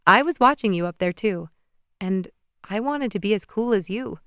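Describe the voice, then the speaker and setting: A woman playing an admiring young friend who speaks with gentle sincerity. Her voice is smooth and clear, and the recording is dry and close. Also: medium pitch, lively intonation, moderate pace, no room sound, natural voice. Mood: encouraging.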